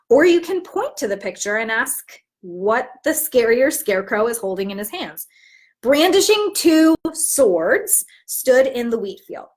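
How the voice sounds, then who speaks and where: Expressive woman teacher with very lively intonation. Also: a little high. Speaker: teacher trainer. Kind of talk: instructional lesson alternating with expressive storybook read-aloud.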